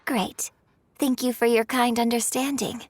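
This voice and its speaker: A woman's voice: fairly high pitch, fairly even intonation, unhurried, darkish tone. A woman playing a refined, courteous young opera performer in a video game, speaking with polite, formal, elegant phrasing. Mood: courteous, earnest. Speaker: game character voice. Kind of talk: scripted video game dialogue.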